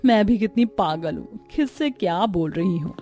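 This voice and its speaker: A woman's voice: mid-range voice, animated, normal speed, mellow, faint music behind, lightly acted. A woman voicing a haughty young rich daughter-in-law in a cartoon story, condescending and showing off. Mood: boastful.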